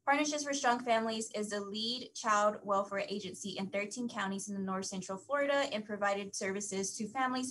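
A woman's voice: medium pitch, fairly bright tone, slight background noise, low-quality recording. Young woman hosting on a webcam. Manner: friendly, reads introductions. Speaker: host. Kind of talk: moderating a career panel. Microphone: video call webcam.

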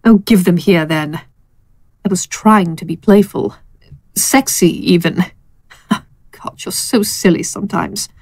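Slow, sardonic woman lover played by an actress. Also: on the low side, darkish tone, no echo. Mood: playful. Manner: teasing, pointed, deliberate. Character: sardonic, seductive female secret lover, protective and insistent. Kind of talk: audio drama acting.

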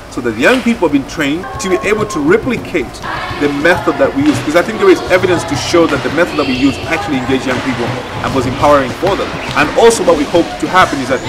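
A man explaining methodically, as a project facilitator in a documentary interview. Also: fairly high pitch. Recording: distant mic under background music. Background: music.

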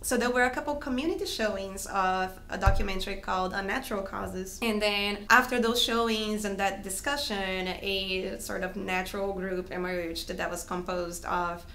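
A friendly, thoughtful woman in her thirties, originally from Brazil, answers interview questions with frequent ums. Her voice has a gravelly edge. The sound is roomy, with a faint hiss behind it. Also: medium pitch, steady tone, normal speed, few pauses, pushed and bright. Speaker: guest, health coalition coordinator. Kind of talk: casual interview talk. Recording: echoey room with faint hiss.

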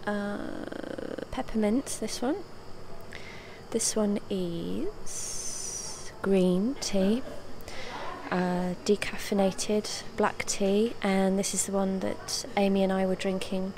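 A woman with a slightly croaky voice giving a slow, gentle, partly whispered tour of a quiet café. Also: medium pitch, fairly even intonation, noisy background. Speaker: ASMR vlogger giving a tour. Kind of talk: soft-spoken whispered tour. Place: empty indoor café with people chatting nearby. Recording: background chatter from a nearby meeting.

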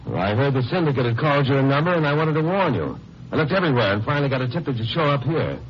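Lawyer-sleuth hero, man acting, wry, steady, mid-range voice, 1950s radio drama, dull recording with faint noise. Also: medium pitch, rapid-fire, close to an everyday voice. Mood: earnest. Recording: muffled, noisy old radio recording.

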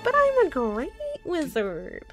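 A woman playing an eager, boastful young wizard student in a Minecraft roleplay, excitable and prone to whining. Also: sits high, slow, over loud music, heavily acted. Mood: eager, indignant. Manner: excitable, whiny. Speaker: roleplay series lead.

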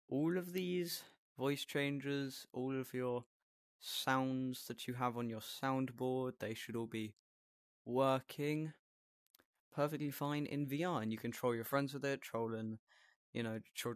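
Male tutorial host, medium pitch, casual step-by-step delivery, very little room sound. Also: steady tone, measured pace, no room sound, clean studio sound.

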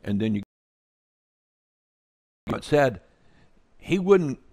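A man's voice: mid-range voice, extremely slow, dry recording. Older man teaching scripture, dry sound. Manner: animated, gesturing, earnest. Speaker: bible teacher. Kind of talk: informal bible teaching. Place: indoors, kitchen under renovation.

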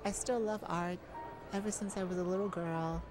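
A woman's voice: fairly low pitch, fairly even intonation, moderate pace, lightly acted. A woman playing a charming, glamorous lady thief in a romantic ASMR roleplay, coy and teasing, speaking sweetly to the listener. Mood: wistful, tender. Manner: coy, teasing, leisurely with breathy chuckles. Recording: music behind the voice.

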